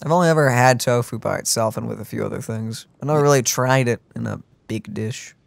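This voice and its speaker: Very deep-voiced woman chatting over a game. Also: a little fast, creaky, no echo. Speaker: let's play co-host. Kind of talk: casual gameplay reaction chat. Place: indoor room, game audio behind.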